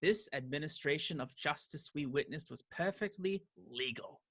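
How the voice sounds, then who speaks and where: A man's voice: a little high, normal speed, a bit bright. A man playing a cruel, pompous medieval sheriff in a radio play, preaching law and order with menace. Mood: smug, imperious.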